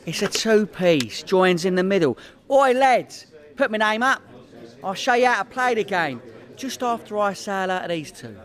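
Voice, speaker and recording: A boastful London chancer of a small-time crook, played by an actor. His voice sits on the high side for a man. He talks colloquially and cockily, full of big claims, in the manner of radio comedy. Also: expressive, moderate pace, a bit bright. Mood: chatty, cocky. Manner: bragging, colloquial, cocky. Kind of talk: comedy radio play acting.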